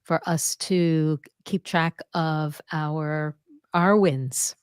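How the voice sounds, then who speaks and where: A woman hosting an interview, speaking softly and thoughtfully. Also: low-pitched, fairly even intonation, very slow, clean tone, dry recording, studio quality. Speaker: podcast host. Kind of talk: conversational interview.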